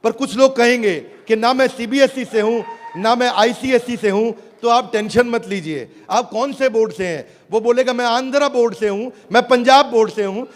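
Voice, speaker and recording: A man's voice: very high voice, moderate pace. A man with an Indian accent giving an emphatic motivational sales presentation on stage, calling out to his audience and repeating phrases. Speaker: business speaker presenting a product launch. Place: large event hall with live audience. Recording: echoing hall, audience noise, loud background.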